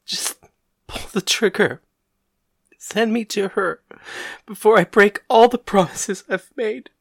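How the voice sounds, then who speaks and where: A woman reading a story voices a guilt-ridden young gunslinger woman, speaking quietly and with resignation. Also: medium pitch, takes it slowly, gravelly, husky, no echo, heavily acted. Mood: resigned.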